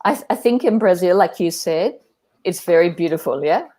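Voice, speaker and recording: A woman with an Australian accent chatting on a video call, encouraging and quick to laugh. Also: lowish voice, animated, warm tone. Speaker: guest, artistic director. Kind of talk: live online conversation.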